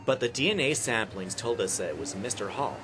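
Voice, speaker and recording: Casting: man as doubting sergeant, lower register, radio drama, clear siren and traffic noise. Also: expressive, a little fast, lightly acted. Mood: incredulous. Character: incredulous male police sergeant, gruffly in charge. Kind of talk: amateur radio play acting. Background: police sirens, vehicles.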